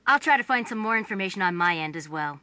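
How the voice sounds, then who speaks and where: A young American mission operator, bright and forward in tone. She is crisp, composed and professional, briefing an agent in a video game, with a very dry, close sound. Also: mid-range voice, lively intonation, fast, dry recording. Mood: composed, businesslike. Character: businesslike young female mission support operator.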